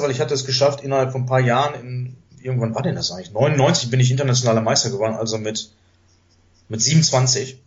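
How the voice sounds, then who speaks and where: A man in his fifties, a podcast guest with Greek as his mother tongue, talks at a middle pitch through a muffled, lo-fi recording. He tells his story in a loose, rambling way, often stopping on fillers and correcting himself mid-word. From Germany. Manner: rambling, many hesitation fillers and self-corrections. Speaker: podcast guest, chess master. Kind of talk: casual conversational storytelling. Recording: dull lo-fi podcast audio.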